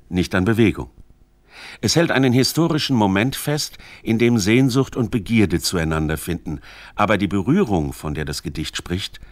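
Deep-voiced man narrating a radio feature. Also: no room sound. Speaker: documentary narrator.

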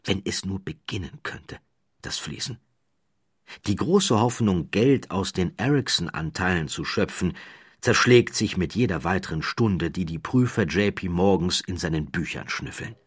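A man reading a documentary audiobook in a measured, dramatic storytelling manner, building rhythmic lists. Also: deep voice, husky, dry recording, clean studio sound. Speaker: audiobook narrator. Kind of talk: scripted documentary narration.

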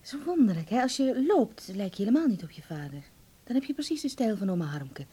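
Casting: fond, fussing middle-aged mother for radio drama, mellow and breathy. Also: medium pitch, very animated, brisk. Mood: wondering, fond. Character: doting middle-aged mother welcoming her son home. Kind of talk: Dutch radio play acting.

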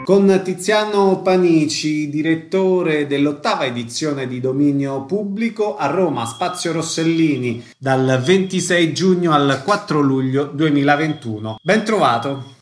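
A man's voice: fairly high pitch, measured pace, faint music behind. A man hosting a radio interview, introducing guests and putting questions to them.

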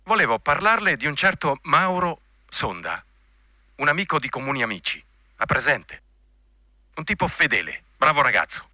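A man's voice: on the high side, sing-song, normal speed, sharp tone, dry recording, heavily acted. An actor playing a coarse, bigoted male army general in a radio drama, blunt, commanding and insinuating. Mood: chummy, insinuating. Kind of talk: Italian radio drama acting.

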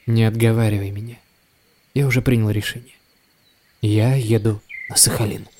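An actor playing a restless, self-doubting writer in an audio drama, speaking in a wistful, courteous manner. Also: male voice, lowish voice, fairly even intonation, moderate pace, lightly acted. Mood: stifled, resolute. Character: weary, restless, self-doubting male writer set on escape. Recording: clear background noise, some clicks.

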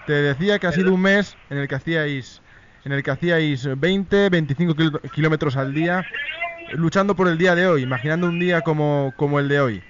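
A man's voice: fairly high pitch, brisk. A man co-hosting a live radio programme, asking unscripted interview questions and searching for his words. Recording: muffled lo-fi radio with faint noise.

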